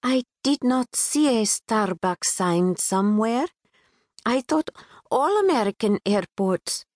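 A woman's voice: fairly high pitch, animated, slow pace, darkish tone, no room sound, studio quality, pitched above the performer's own voice. A woman voicing a timid, petite young woman with a Pakistani accent tinged with British, speaking in a hesitant, sing-song, formal way. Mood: pleading. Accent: Pakistani with a British twang.

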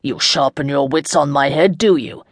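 A reader playing a commanding dark lord who speaks calmly and with quiet mockery. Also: moderate pace, no echo, studio quality, natural voice. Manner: calm, low and assured.

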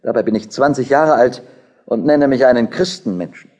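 A man playing a devout young scholar in a historical radio play. His mid-pitched voice is husky, and he declaims with earnest, searching conviction. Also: lively intonation, moderate pace, darkish tone, lightly acted. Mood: earnest, eager. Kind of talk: historical audio drama acting.

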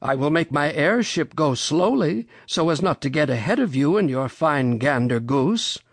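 A reader voicing a genial, excitable old gentleman rabbit from a storybook, polite and given to exclaiming. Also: medium pitch, normal speed, no echo. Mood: delighted. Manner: polite, exclamatory, wondering aloud.

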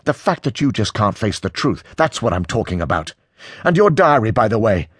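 A man's voice: on the low side, sing-song, moderate pace, slightly rough, no room sound, studio quality, pitched above the performer's own voice. A man voicing an angry, defensive male character in an audiobook, mocking and aggrieved. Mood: spiteful. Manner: menacing, mocking, indignant.

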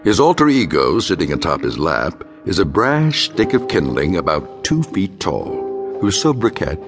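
Deep, portentous American narrator. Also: male voice, very animated, music in the background, heavily acted. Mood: wry, ominous. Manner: measured, ornate, portentous. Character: wry, ominous anthology narrator. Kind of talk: scripted dramatic radio narration.

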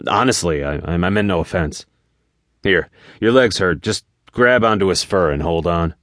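A man's voice: low-pitched, expressive, normal speed, a bit bright, no echo, clean studio sound. A man voicing an earnest, plain-spoken, determined hero in a fantasy audiobook, with informal phrasing. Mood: friendly, apologetic. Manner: earnest, informal, hesitant at times. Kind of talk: audiobook character voice.

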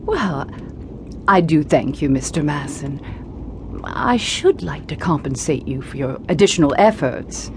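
A genteel, low-voiced widow. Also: female voice, expressive, measured pace, darkish tone. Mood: polite, mournful. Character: genteel, kindly, grieving widow, mature lady. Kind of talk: audio horror drama acting. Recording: clear background ambience noise.

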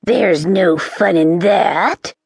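A woman voicing a crabby old witch who croaks and sneers, speaking slowly. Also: lowish voice, lively intonation, no echo, studio quality, heavily acted. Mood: sneering. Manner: sneering, slow and menacing.

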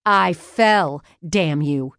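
A woman's voice: deep voice, extremely slow, a bit bright, clear, resonant tone, no room sound, clean studio sound, heavily acted. A woman voicing a pompous, whiny wounded businessman who groans and sputters indignantly in an audiobook story. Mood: pained, indignant.

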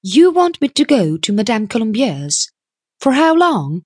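Woman voicing a pert, higher-pitched young woman. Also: big pitch swings, a little slow, a little mellow, breathy edge, no room sound, studio quality, heavily acted. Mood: questioning. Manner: pert, direct, self-possessed. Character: small, plucky, sharp-witted young woman seeking work. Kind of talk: audiobook character voice.

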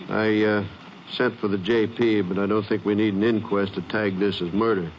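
An actor playing a plain-spoken small-town sheriff with a rural Texan accent in a 1950s radio western. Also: male voice, fairly low pitch, steady tone, normal speed, close to an everyday voice. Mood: matter-of-fact. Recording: muffled, hissy old radio recording.

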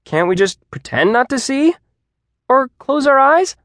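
A man voicing a skeptical, literal-minded high school student who questions everything, in an audiobook. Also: sing-song, unhurried, a bit bright, no echo, studio quality, full character voice. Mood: puzzled, challenging. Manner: querulous, questioning. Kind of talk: audiobook character voice.

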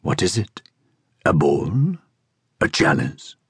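A man's voice: deep voice, very animated, slow, breathy edge, no echo, clean studio sound, pitched below the performer's own voice, exaggerated voice. Man voicing a conflicted, easily swayed younger man. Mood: hushed, submissive.

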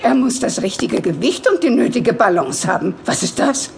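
A woman's voice: a little high, animated, moderate pace, gravelly, darkish tone, a little hiss or hum. An actress playing a cranky, demanding, eccentric old lady in an audio drama, speaking imperiously and with dramatic insistence. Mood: demanding, suspicious.

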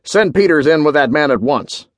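Man playing a gruff, barking general with animated pitch. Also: normal speed, no room sound, natural voice. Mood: barking, urgent. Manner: barking, booming, clipped orders. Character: gruff, commanding male general, intelligence chief, short-tempered.

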